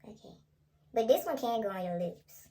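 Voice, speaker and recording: High-voiced young woman, slangy and playful. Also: animated, normal speed, warm tone. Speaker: vlogger and ASMR creator. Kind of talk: casual ASMR role play and chatty vlog.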